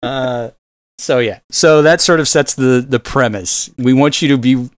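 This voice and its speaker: Man, medium pitch, casual film discussion, sweary, rambling, exasperated, very dry close sound. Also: a little slow, no echo. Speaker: podcast host.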